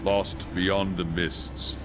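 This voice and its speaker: A noble, weathered angel warrior keeping watch in a fantasy game. His voice is low, and he speaks formally and earnestly in measured phrases. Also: flat delivery, over music. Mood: earnest, respectful. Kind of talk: dramatic video game voice acting.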